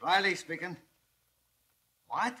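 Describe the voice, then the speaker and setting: An actor playing an excitable junior policeman who blurts out urgent news in a 1940s radio drama. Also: sits high, takes it slowly. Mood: excited. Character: excitable junior cop answering the telephone. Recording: noisy old radio broadcast.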